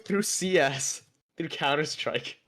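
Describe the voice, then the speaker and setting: A man co-hosting a casual chat with friends, talking in an animated, self-mocking way with frequent laughs; his first language is Cantonese. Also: a little high, slow, crowd noise. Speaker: podcast co-host.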